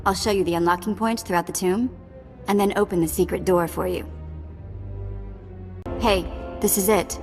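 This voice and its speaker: An actress playing a sassy, defiant alien slave treasure hunter in a video game, sarcastic and full of wisecracks. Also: female voice, fairly low pitch, fairly even intonation, moderate pace, pauses often, soft background music, close to an everyday voice. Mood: wry. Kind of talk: scripted video game drama acting.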